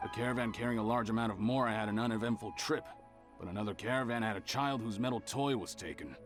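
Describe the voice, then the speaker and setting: An earnest caravan investigator from a video game, acted by a man. The voice is fairly low and reports in formal, measured phrases. Music is clearly audible behind it. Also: natural voice. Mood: grave, reporting.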